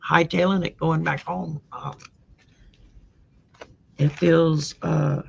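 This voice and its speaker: A woman's voice: deep voice, fairly even intonation, slow, vocal fry, muffled recording, a little hiss or hum. A woman with a Cajun Louisiana accent hosting a live stream, giving a casual, folksy tarot reading with frequent ums. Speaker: host and tarot reader.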